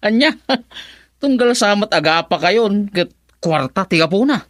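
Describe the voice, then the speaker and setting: A teasing, lively drinking buddy. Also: male voice, sits high, slow pace, no echo, studio quality, heavily acted. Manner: teasing, laughs often. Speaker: friend. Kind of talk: radio drama acting.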